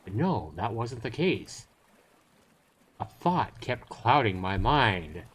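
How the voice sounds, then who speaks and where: A man's voice: lowish voice, big pitch swings, slow, a bit bright, breathy edge. A man streaming a visual novel, reading it aloud with joking, casual asides. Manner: jokey, bantering asides between read passages. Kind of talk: live game read-aloud with casual banter.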